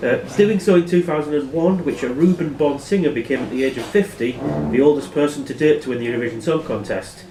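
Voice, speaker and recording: A man hosting a quiz as quizmaster, reading questions aloud in a plain, matter-of-fact way and giving brisk rulings. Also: fairly high pitch, noisy background. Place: live in a pub. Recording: echoey room, distant microphone.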